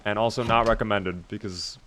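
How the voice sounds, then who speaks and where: A man's voice: fairly low pitch, steady tone, a little fast, fairly bright tone. A man streaming a game, chatting casually and answering his viewers while he plays; his voice was recently strained. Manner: chatty, reads and answers chat while playing. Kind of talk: casual live gameplay commentary.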